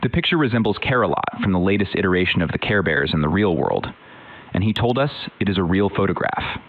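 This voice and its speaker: A male news anchor, played by a man, reports in a measured way. His voice is very deep. It comes through thin and phone-like. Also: lively intonation. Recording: phone-like narrow sound.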